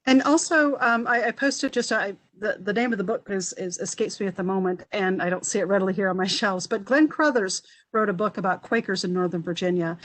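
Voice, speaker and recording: A woman historian speaking on an online panel, thoughtful and halting with many restarts. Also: mid-range voice. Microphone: video call.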